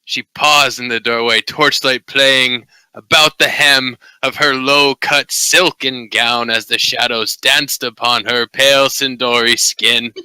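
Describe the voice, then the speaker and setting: Man narrating romance, very bright forceful voice. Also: medium pitch, fairly even intonation, measured pace, few pauses, clean tone, dry recording. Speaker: amateur reader. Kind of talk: dramatic, hammy romance novel narration.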